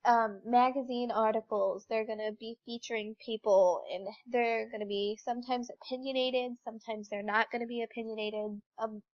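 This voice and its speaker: A woman's voice: fairly high pitch, steady tone, moderate pace, hardly any pauses, highs cut off. A young woman with a congested, stuffy voice, talking through a slideshow presentation in an informal, explanatory way with some fillers. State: congested from a cold. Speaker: student presenter.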